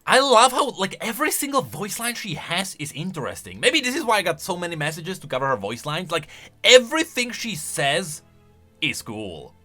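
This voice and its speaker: A man giving casual, emphatic commentary as a gaming YouTuber, excitable in his delivery. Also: high voice, moderate pace, faint music behind. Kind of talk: casual lore commentary, reacting to voice lines.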